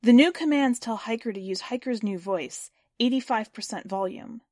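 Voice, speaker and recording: A woman's voice: a little high, moderate pace, darkish tone, dry recording. Woman reading fiction, dry close sound. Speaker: podcast host and story reader. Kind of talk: scripted story narration and podcast hosting.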